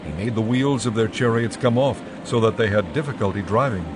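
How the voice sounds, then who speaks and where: A man narrating dramatized scripture in a measured, formal way. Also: on the low side, noisy background. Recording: dramatic music behind voice.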